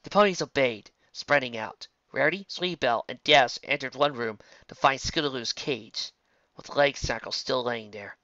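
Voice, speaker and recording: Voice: medium pitch, normal speed, bright, forceful tone, no room sound, dull audio. A narrator reading a fan fiction story in a scripted storytelling style.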